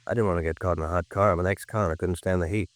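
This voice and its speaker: A man's voice: low voice, fairly even intonation, racing, no room sound, natural voice. An actor playing an evasive ex-convict suspect in his thirties in a 1950s radio crime drama, defensive and slangy.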